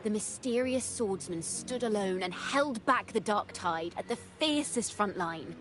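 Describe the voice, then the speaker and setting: An actress voicing a poised, knowing young woman adventurer who recounts events in measured, encouraging video game dialogue. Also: medium pitch, lively intonation, noisy background, lightly acted. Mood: measured, recounting. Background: game music.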